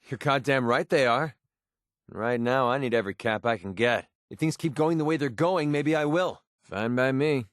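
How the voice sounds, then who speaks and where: Man acting young male mercenary, video game companion, cocky, guarded, wry, slangy, mid-pitched, dry close sound. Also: no echo, close to an everyday voice. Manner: casual, wry, slangy delivery. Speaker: voice actor for a game companion character. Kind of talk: video game voice lines, combat barks and confessional dialogue.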